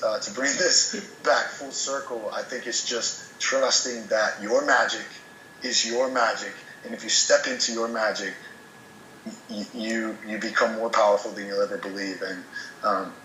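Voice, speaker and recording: A man's voice: on the low side, steady tone, moderate pace, pushed and bright, echoey room, a little hiss or hum, poor audio. A man speaking as a podcast guest in casual chat, earnest and motivational, often restarting words as he goes.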